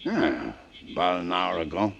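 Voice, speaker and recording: An actor playing a slow-witted, hot-tempered young boxer with a rough voice and a working-class American accent, in 1940s radio crime drama style. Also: male voice, deep voice, sing-song, a bit bright, dull audio, a little hiss or hum, heavily acted. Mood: defensive. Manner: slow-witted, ungrammatical tough talk. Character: dim, hot-tempered, lovesick young male prizefighter. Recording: old 1940s radio recording.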